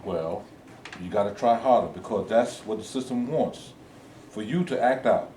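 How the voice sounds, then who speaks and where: A man in a staged reading playing a patient, steady civil-rights reverend who urges caution. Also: on the low side, normal speed, lightly acted. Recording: echoing room, distant mic, faint noise.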